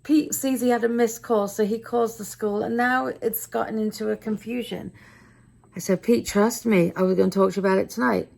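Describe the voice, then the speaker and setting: Raspy, tired middle-aged woman. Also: on the high side, steady tone, brisk, darkish tone. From United Kingdom. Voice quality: raspy, gravelly. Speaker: vlogger. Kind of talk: casual daily vlog chat to camera.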